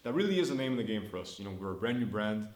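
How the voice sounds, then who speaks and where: A young man talks in a fairly low voice, chatty and upbeat, thinking out loud and restarting his sentences. He records himself in a room that gives the sound a noticeable echo. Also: big pitch swings, very fast. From Montreal, Canada. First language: French. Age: early 20s. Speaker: startup founder. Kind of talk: casual business vlog update. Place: indoor room, filmed on his own camera.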